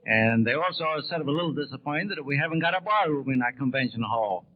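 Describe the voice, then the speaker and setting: A man's voice: mid-range voice, lively intonation, quick pace. A man answering as a guest in a 1930s radio interview, plain-spoken and brief. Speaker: barber being interviewed. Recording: tinny 1930s radio broadcast.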